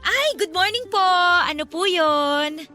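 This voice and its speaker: A woman's voice: extremely high pitch, lively intonation, slow pace, bright, forceful tone, soft background music, exaggerated voice. A woman playing an earnest, loyal young flower vendor in a radio drama. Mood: polite, eager.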